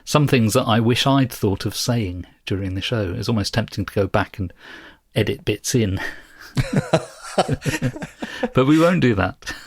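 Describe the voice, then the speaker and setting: A man co-hosting a podcast in a casual, measured and thoughtful chatting style. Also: fairly low pitch, animated, no room sound, studio quality. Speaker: podcast co-host.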